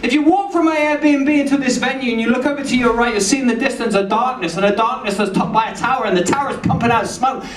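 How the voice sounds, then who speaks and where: A man performs a rambling improvised poem, playful and breaking into sudden shouts. His voice sits very high. It sounds echoey and is picked up from a distance in the venue. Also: fast, hardly any pauses, crowd noise. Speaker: poet. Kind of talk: improvised spoken-word performance. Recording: echoey room from far off.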